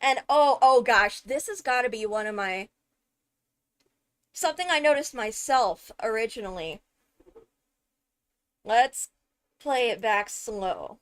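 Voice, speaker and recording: A woman giving casual, excited video commentary on a game trailer, chatty and thinking aloud with frequent interjections. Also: a little high, slow pace, long pauses, smooth. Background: loud music from the video under commentary.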